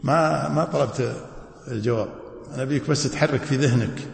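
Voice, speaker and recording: A man's voice: medium pitch, fairly quick, gravelly. A man teaching jurisprudence in a mosque, often stopping to quiz his listeners with prompting questions. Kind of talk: classroom lecture. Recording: echoey mosque hall.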